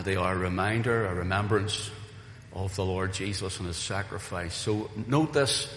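A pastor preaching a Sunday sermon, earnest and rising to emphatic calls to the congregation, his voice a little weak from recent voice loss. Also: on the low side. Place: church hall. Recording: roomy echo of a reverberant hall.

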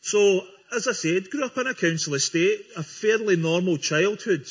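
A man's voice: high voice, animated, moderate pace, breathy edge. A Scottish man from Scotland giving his church testimony to a congregation, earnest and friendly, with small restarts. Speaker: guest speaker giving testimony. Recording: dull lo-fi recording.

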